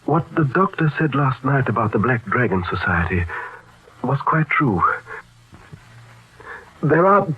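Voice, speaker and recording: An actor playing a grave, knowing informant in a radio drama, formal and deliberate. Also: male voice, mid-range voice, big pitch swings. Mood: grave, insistent. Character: grave, knowing man warning of a plot. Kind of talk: old-time radio drama acting. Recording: tinny old radio recording.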